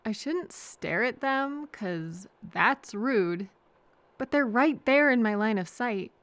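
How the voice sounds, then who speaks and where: A woman playing a kind, anxious, chatty traveler who gently overthinks and thinks aloud in a hesitant, earnest audio roleplay. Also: on the high side, no echo.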